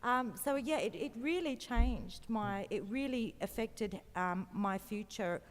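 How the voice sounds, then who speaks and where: A woman's voice: fairly high pitch, a little slow. A woman from Egypt living in Australia, speaking reflectively as a panelist in an echoey hall. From born in Egypt, lives in Australia. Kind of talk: personal reflection in a panel discussion. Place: large indoor event hall. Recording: echoey hall public address sound.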